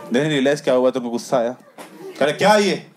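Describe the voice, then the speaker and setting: A young man doing stand-up storytelling on a comedy club stage with a handheld mic. He acts out the scenes, dropping low for asides and jumping high for the punchlines. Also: animated, fast, a crowd in the background, noisy background. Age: young adult. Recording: live club audio with loud audience laughter.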